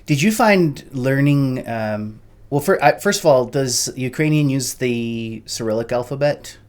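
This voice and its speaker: A man hosts a relaxed interview, his voice sitting at an ordinary pitch. He asks long, loose questions and stumbles through them with restarts and uhs. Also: measured pace. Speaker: podcast host, interviewer. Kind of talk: casual interview.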